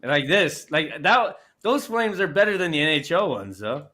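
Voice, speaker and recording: A man's voice: a little high, big pitch swings, normal speed, bright, forceful tone. A young Canadian man hosting a casual sports chat show, talking in loose rambling restarts with easy laughs.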